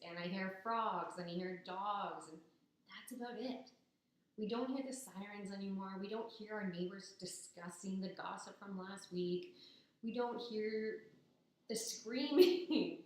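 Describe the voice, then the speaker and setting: A young woman from Ontario, hoarse and raspy after a cold, giving a chatty, upbeat persuasive talk to the camera. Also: medium pitch. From Ontario, Canada. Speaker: business student. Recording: very echoey, roomy sound.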